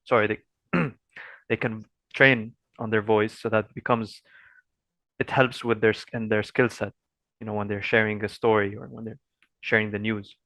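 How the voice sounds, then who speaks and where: A man asks questions in a lowish, dry voice. He talks in a casual, rambling way, filling pauses with "like" and "you know.". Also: little pitch movement, fairly bright tone, no echo. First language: Arabic. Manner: rambling with fillers. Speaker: interviewer. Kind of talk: casual interview talk.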